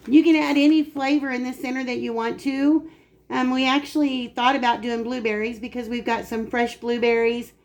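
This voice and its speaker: A woman hosts a relaxed home cooking demonstration from her kitchen. Her voice is raspy and gravelly from a dry throat, set a touch high. She chats easily as she explains each step. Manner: chatty, explains steps while working. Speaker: cooking channel host.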